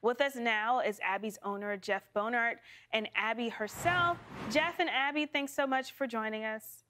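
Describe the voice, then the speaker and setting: A woman's voice: fairly high pitch, moderate pace, sharp tone, a little hiss or hum. Polished female news anchor. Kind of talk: studio news interview. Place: TV studio.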